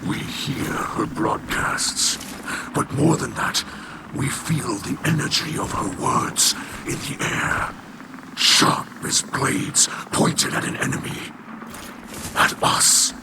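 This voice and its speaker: Slow, breathy, solemn alien leader. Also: male voice, medium pitch, heavy background noise. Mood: grave, sorrowful. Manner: slow, grave, deliberate storytelling. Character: solemn, sorrowful alien leader of a refugee people. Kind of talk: video game cutscene acting. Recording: loud music behind the voice.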